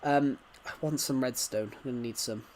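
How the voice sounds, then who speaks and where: A man's voice: medium pitch, steady tone, normal speed, a little mellow, a little hiss or hum. A man hosting a casual gaming livestream, talking in a rambling, stuttering way as he answers viewer comments. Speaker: livestream host.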